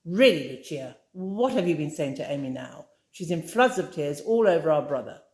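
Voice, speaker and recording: Lady doing a brisk scolding sister, storybook, roomy echo. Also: deep voice, lively intonation, a little mellow. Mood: reproachful. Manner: brisk, reproachful. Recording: roomy echoey room.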